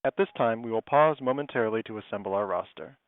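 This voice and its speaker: Low-voiced male operator on a phone line. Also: fast talker, dry recording. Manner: formal, procedural. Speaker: conference call operator. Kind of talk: scripted call instructions. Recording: dry, phone-like call line.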